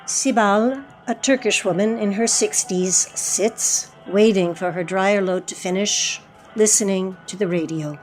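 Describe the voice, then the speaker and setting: A woman's voice: medium pitch, measured pace. A woman announcer reading a scripted introduction and stage directions for an audio play. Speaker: announcer and narrator. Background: music with guitar.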